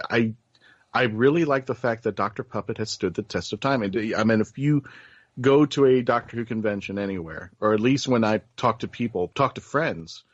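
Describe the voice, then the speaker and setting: A man's voice: medium pitch, no echo, highs cut off. A man hosting a web show, chatting casually as he interviews a guest.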